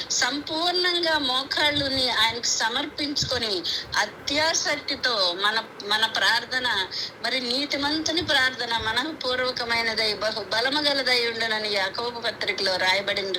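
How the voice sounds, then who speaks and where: A woman's voice: fairly high pitch, pushed and bright. A woman preaches a devotional sermon in an earnest, instructive manner, stressing key phrases through repetition, over a conference call. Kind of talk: devotional sermon with scripture readings. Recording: clearly noisy conference call audio.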